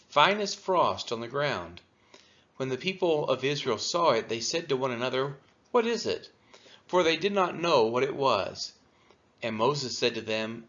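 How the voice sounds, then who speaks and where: A man's voice: mid-range voice, a bit bright. A man reading scripture aloud expressively, as a reader for a church. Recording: dull, lo-fi sound.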